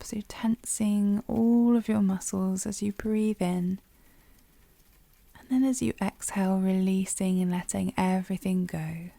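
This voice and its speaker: A woman guides a meditation in a very dark, velvety voice, soft and breathy to the edge of a whisper. Her delivery is slow and soothing, with gentle pauses between phrases. The sound is close and dry. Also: mid-range voice, no room sound. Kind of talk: guided yoga nidra meditation.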